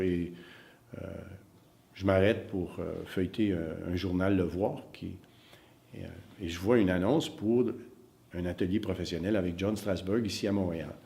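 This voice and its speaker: A middle-aged man with a very low voice. He is an acting teacher answering interview questions in a reflective way. He pauses often and repeats words as he hunts for the right phrase. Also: vocal fry, dark tone. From Northern Ontario. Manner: hesitant, many fillers and repeated words.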